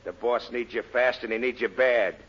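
An actor playing a tough, impatient American gangster henchman in a 1940s radio comedy, gruff and threatening, with a gravelly voice. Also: medium pitch, moderate pace, lightly acted. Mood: menacing. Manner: threatening, slangy, barking orders. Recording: noisy, dull old radio recording.

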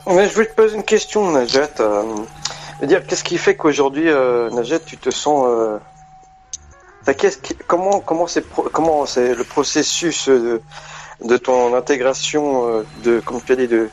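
A man's voice: mid-range voice, normal speed, darkish tone, highs cut off, a little hiss or hum. Hesitant man in his forties calling in. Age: 40s. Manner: hesitant, searching for words. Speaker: radio caller. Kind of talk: call-in radio chat.

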